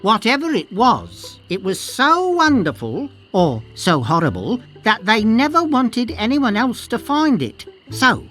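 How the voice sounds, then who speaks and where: A woman's voice: a little high, wildly swooping pitch, unhurried, few pauses, fairly bright tone, soft background music, exaggerated voice. An actress playing a cagey, knowing woman cartographer in a pirate video game, chatty and conspiratorial. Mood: storytelling. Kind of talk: video game dialogue acting.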